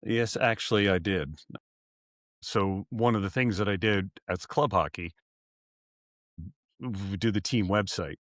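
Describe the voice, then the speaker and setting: A man's voice: lowish voice, a little slow, pauses often, no echo, clean studio sound. A man in his forties, a sports arena announcer, chatting in an interview, rambling and correcting himself with little chuckles. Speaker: arena PA announcer, podcast guest. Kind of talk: casual interview chat.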